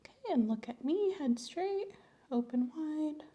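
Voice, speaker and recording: A soft-spoken woman doing an ASMR medical exam roleplay, often dropping to a whisper as she gives gentle, reassuring instructions. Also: sits high, expressive, a little slow, lo-fi sound. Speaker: ASMR creator playing a doctor.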